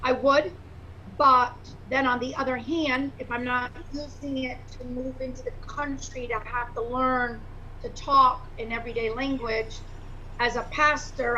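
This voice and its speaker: A woman with a high, bright voice talks earnestly on a video call, weighing her words with fillers as she thinks aloud. The call audio is dull and lo-fi. A faint hiss runs underneath. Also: little pitch movement, moderate pace. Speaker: pastor and prospective student. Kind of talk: casual orientation call.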